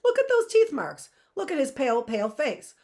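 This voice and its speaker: A woman's voice: high voice, wildly swooping pitch, moderate pace, darkish tone, voice pushed up, heavily acted. A woman reading aloud, voicing a scheming, menacing man who mocks and snarls at children. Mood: smug. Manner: snarling, mocking.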